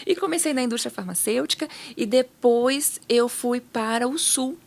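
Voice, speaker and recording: A woman's voice: on the high side, normal speed, gravelly. A woman in her thirties with a northern Brazilian accent, talking casually as an interview guest. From Manaus, northern Brazil. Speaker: podcast guest, hospital pharmacist.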